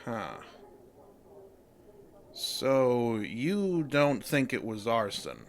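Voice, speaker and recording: Offhand, probing police investigator. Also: male voice, mid-range voice, sing-song, very slow, heavily acted. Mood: probing, casual. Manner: hesitant, offhand questioning. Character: probing male police investigator, casual and quietly suspicious. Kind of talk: audio drama acting.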